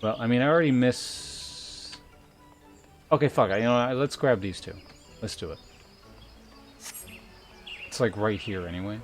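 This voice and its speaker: A man's voice: mid-range voice, moderate pace, pauses often. A man giving casual, rambling commentary on a game livestream, dryly sarcastic and swearing freely. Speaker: game streamer. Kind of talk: casual livestream gameplay commentary, reading chat aloud. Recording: music behind the voice. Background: game music.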